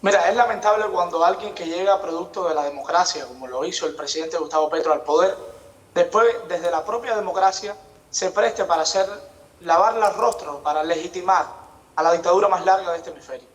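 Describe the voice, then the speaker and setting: A man's voice: high voice, steady tone, brisk, rough recording. A young man with a Cuban accent giving emphatic, indignant political opinion as a debate guest. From Cuba. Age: young adult. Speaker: youth activist, debate guest.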